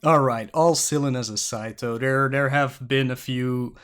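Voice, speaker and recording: A Flemish man, a native Dutch speaker from Belgium, hosting a podcast by himself at a creaky desk in a rambling, jokey, self-mocking way. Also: medium pitch, steady tone, normal speed, a bit bright, faint music behind. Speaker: podcast host. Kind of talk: casual solo podcast talk.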